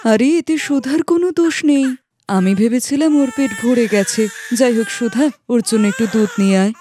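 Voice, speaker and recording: Mellow elder sister played by a woman, some noise. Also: a little high, normal speed, lightly acted. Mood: reassuring. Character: worried elder sister and young mother from the city. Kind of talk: cartoon moral story acting.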